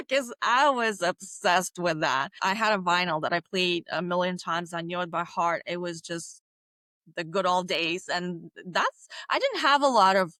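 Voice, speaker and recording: Middle-aged woman, kinda low voice, chatty and laughy, lots of restarts. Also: no room sound. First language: Bulgarian. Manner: animated, laughs often, many restarts. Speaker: guest author. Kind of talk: casual interview answers.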